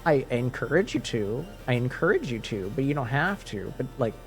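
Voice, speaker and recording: A man with a light French Canadian accent chats loosely to his live stream audience, circling back and restarting his sentences as he thinks aloud. His voice sits at an ordinary middle pitch, and faint music plays quietly underneath. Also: very animated, normal speed. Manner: rambling, many restarts and fillers. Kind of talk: casual chat with stream viewers.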